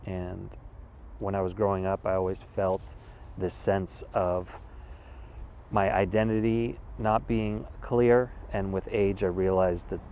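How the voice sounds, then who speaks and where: A deep, low-pitched American man in his thirties talks to the camera outside, reflective and unhurried. He stops to search for words and circles back to rephrase. Faint traffic hums behind him. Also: little pitch movement, a little mellow. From California, USA. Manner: thoughtful, measured, with pauses and restarts. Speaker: vlogger. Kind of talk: casual reflective monologue. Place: outdoors in a wooded city spot.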